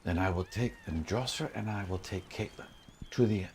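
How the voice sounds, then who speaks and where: A man voicing a wary companion in a tabletop fantasy game, speaking tersely and formally in a hushed tone. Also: on the low side, brisk, a little hiss or hum, pitched below the performer's own voice, close to an everyday voice. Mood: hushed, urgent. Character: wary companion laying out a hushed escape plan.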